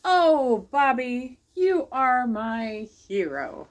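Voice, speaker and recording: A woman's voice: sits high, big pitch swings, drawn-out words, pitched above the performer's own voice, heavily acted. A woman voicing a prim, formal and dramatic female schoolteacher in a picture book read-aloud. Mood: gushing.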